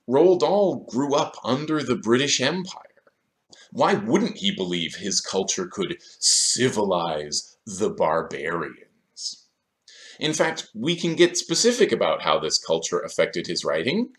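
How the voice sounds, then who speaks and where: A man's voice: mid-range voice, sing-song, measured pace. A man in his thirties delivering scripted video essay commentary in a sardonic, questioning manner, recorded in a small room.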